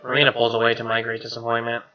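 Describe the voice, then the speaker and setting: A man doing an expressive read-aloud of a game's narration, with casual asides. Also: mid-range voice, rapid-fire. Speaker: gamer reading a visual novel aloud. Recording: roomy, dull lo-fi mic. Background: game music.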